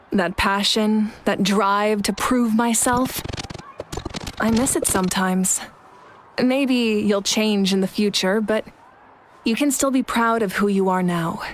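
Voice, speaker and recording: A woman voicing a composed, kind female leader in an anime-style video game, speaking in a measured, earnest, reassuring way. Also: medium pitch, slight background noise, lightly acted.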